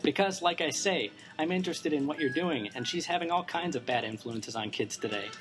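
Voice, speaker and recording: Man playing an earnest, higher-pitched young informant. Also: fairly quick, a bit bright, close to an everyday voice. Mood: confiding. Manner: earnest, explanatory. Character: earnest, defensive young male blog, personified informant. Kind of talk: amateur noir radio drama acting.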